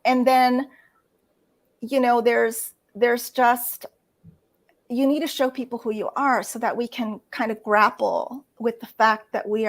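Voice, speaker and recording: A middle-aged woman with a fairly high voice speaks as an interview guest. She talks earnestly and thinks aloud, with frequent fillers and self-corrections. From United States. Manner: earnest, many fillers and restarts. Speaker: guest writer. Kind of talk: casual interview talk.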